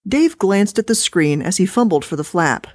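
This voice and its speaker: A woman hosting a podcast and reading a horror story aloud with an expressive delivery. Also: medium pitch, moderate pace, no room sound, studio quality. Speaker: podcast host and story reader.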